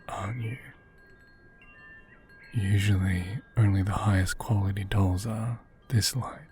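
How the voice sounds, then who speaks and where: A man playing a gentle, caring caretaker of a fragile doll in an ASMR roleplay, speaking softly and hesitantly, often whispering. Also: lowish voice, a little hiss or hum. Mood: tender, reassuring. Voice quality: soft, breathy, often whispered. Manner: slow, hesitant, reassuring.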